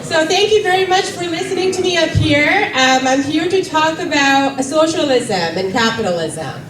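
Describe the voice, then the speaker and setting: A woman's voice: sits high, expressive, moderate pace. A woman from South Korea with a Korean accent gives a political speech at a campaign rally and asks the crowd rhetorical questions. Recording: distant mic in echoey room with faint background noise.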